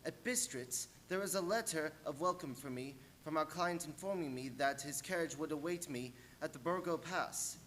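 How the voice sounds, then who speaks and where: A man's voice: a little high, fairly bright tone, lightly acted. Man acting a young clerk narrating through noisy audio. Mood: grave, foreboding. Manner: formal, measured storytelling. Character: young English lawyer's clerk, earnest, recounting his journal. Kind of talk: dramatic radio-play narration.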